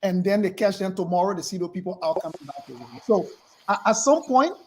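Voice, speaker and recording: A man interjects on a talk panel. He talks very fast in a high voice and presses his questions insistently. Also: very animated, slight background noise. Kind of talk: panel discussion.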